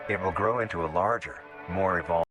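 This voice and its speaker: Male narrator, very low voice, flat clinical delivery, music underneath. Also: fairly quick. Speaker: narrator of a horror video. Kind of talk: scripted documentary narration. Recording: narration played over music.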